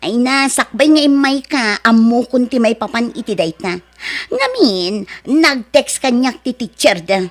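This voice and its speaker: A woman's voice: a little high, sing-song, unhurried. A middle-aged woman with an Ilocano accent acting a proud, scornful rich mother in an audio drama. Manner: haughty, scornful, dismissive.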